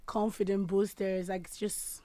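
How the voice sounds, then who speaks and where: A young woman from Zimbabwe answers interview questions in a radio studio. She speaks softly and keeps her answers short, with fillers between them. Her voice is mid-pitched and the sound is close and dry. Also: little pitch movement, unhurried, no room sound. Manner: soft-spoken, brief answers with fillers. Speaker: guest, spoken word artist.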